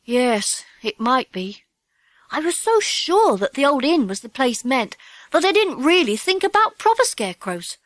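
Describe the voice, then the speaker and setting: A woman's voice: high voice, very animated, a little slow, dry recording. A woman voicing a keen, earnest schoolboy who leads his gang, in a children's audiobook. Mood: thoughtful. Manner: eager, bossy, earnest. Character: keen schoolboy gang leader, polite and decisive. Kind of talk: children's audiobook character acting.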